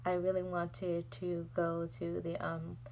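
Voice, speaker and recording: Low-pitched young woman, gravelly fry. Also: monotone, slow, a little mellow, slight background noise. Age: young adult. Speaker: vlogger. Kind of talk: casual storytelling to camera.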